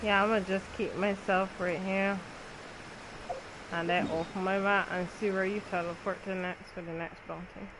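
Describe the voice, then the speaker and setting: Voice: mid-range voice, level tone, moderate pace, background noise. A gaming streamer chatting casually during gameplay.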